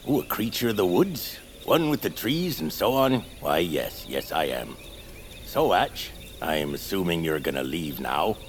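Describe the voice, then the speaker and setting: An actor playing a needy, blunt, quirky feral hermit of the woods in an audio drama, theatrical and abrupt with a deadpan streak. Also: male voice, low voice, a little slow, a little hiss or hum. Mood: wistful. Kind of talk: audio fiction acting.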